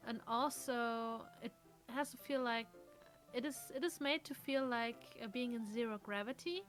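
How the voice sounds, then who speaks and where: A woman's voice: a little high, normal speed, soft background music. A woman co-hosting a let's play, chatting casually and explaining things in a thoughtful way. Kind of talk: casual chat.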